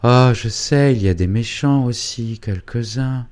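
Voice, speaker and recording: A man's voice: medium pitch, measured pace, a little mellow, pitched above the performer's own voice, close to an everyday voice. A man reading a storybook voicing a naive young woman who dreams aloud, airy and rapturous. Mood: dreamy.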